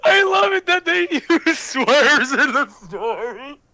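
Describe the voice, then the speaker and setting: Creaky-voiced man giving casual reactions. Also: extremely high pitch, big pitch swings, takes it slowly, bright, forceful tone. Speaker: reaction video host. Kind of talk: casual live reaction commentary.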